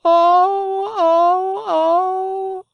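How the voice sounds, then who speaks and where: A narrator voicing an excitable young wooden puppet boy from a children's storybook, plaintive and pleading. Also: medium pitch, slow pace, no room sound, highs cut off, voice pushed up. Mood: wailing, despairing. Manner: melodramatic, pleading. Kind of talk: children's storybook character reading.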